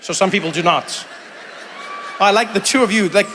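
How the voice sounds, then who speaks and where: A middle-aged man doing stand-up with a high, bright and forceful voice. He teases the crowd and keeps slipping into loud, animated impressions. He is heard in a big theater, with the sound ringing out in a long reverb over a faint hiss. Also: normal speed. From South Africa. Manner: animated, jumps into shouted impressions and teasing banter. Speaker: stand-up comedian. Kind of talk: stand-up comedy with crowd work. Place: stage in a large theater before an audience. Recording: live theater with long hall reverb and faint room noise.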